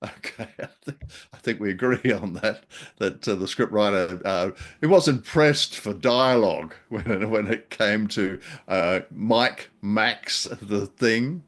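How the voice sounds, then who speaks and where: A man with a fairly low voice chats as a co-host in a casual review. His delivery is wry and dryly humorous, with halting, hesitant phrasing. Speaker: podcast co-host.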